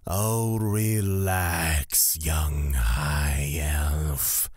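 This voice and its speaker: A god of fear with an extremely low, breathy voice. He speaks very slowly, with calm menace and sly benevolence, as an imperious deity in a fantasy audio drama. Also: no echo, studio quality, exaggerated voice. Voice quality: very deep, husky, breathy. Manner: slow, deliberate, imperious.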